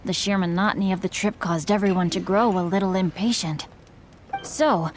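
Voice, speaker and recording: A woman's voice: medium pitch, a little hiss or hum, lightly acted. A woman voicing a polite, bookish young male swordsman and novelist in a video game, speaking in a courteous, formal way.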